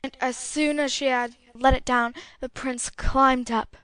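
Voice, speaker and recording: A woman narrating a fairy tale as an audiobook, with a clear storytelling delivery. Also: sits high, steady tone, unhurried, fairly bright tone, dry recording.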